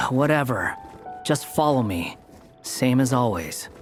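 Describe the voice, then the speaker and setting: A man's voice: medium pitch, lively intonation, slow, music in the background. A man voicing an aloof, grudging young male companion in a video game, curt and dryly dismissive. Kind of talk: video game character acting.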